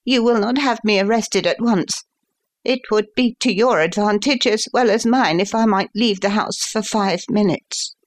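A man voicing a proud, indignant young gentleman son in a Victorian audiobook, impassioned and defiant. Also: high-pitched, normal speed, pitched above the performer's own voice, natural voice. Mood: pleading.

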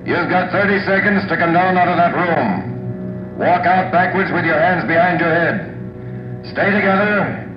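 High, echoing police chief on loudspeaker. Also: male voice, muffled recording, noisy background. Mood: stern warning. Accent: American. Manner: slow, authoritative. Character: stern police chief commanding through a loudspeaker. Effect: echoing public-address loudspeaker. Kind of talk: 1940s-50s radio drama acting. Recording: echoing loudspeaker, old radio, loud music.

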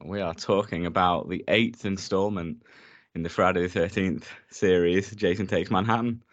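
A man co-hosting a podcast, sick with a rough voice that is deeper than usual, laying out facts steadily. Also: normal speed, highs cut off. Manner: steady, presents facts and synopsis methodically. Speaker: podcast co-host. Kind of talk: casual chat.

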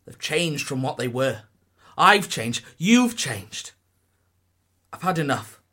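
A desperate war-weary young soldier. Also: male voice, on the high side, very animated, slow pace, bright, forceful tone, exaggerated voice. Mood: bitter, weary. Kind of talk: radio play acting.

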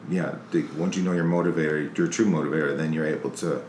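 A man co-hosts a relaxed podcast, speaking plainly and backing up the others' points. His voice is extremely deep and echoes off the room. A faint hiss lies under it. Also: fast. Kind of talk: casual podcast conversation.

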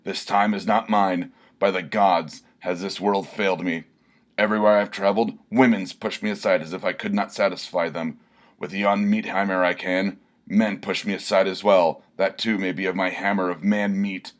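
A man putting on a blustering barbarian warrior, lecherous and full of self-pity, roaring his lines with theatrical bombast. The voice sits low and deep under the shouting. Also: fairly even intonation, moderate pace, lightly acted. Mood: sobbing lament. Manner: booming, theatrical bellowing.

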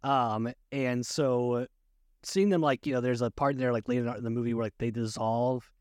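A man's voice: mid-range voice, fairly even intonation, normal speed, darkish tone, clean tone, no echo, clean studio sound. A jocular man co-hosting a casual movie chat, rambling along and often amused. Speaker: podcast co-host.

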